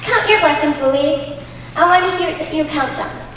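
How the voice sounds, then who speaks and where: A woman's voice: high-pitched, normal speed, a bit bright. A young woman student actor playing a melodramatic, cheerfully resigned woman in an absurdist stage comedy. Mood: stoic, rambling. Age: young adult. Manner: theatrical, stagey delivery. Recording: echoey auditorium, distant mic, faint noise.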